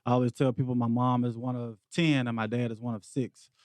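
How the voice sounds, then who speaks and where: A man's voice: mid-range voice, a little fast, dry recording. Easygoing guy in his 40s from memphis, storytelling on a podcast, dry close mic. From Memphis, Tennessee. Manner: relaxed storytelling, laughs easily. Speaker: podcast guest. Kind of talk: casual conversation.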